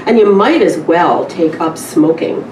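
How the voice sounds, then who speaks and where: A woman voicing a sly male bill collector who delivers insinuating, deliberate predictions of doom in a story read aloud. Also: fairly low pitch, expressive. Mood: mocking, cruel. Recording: roomy, distant live recording with noise.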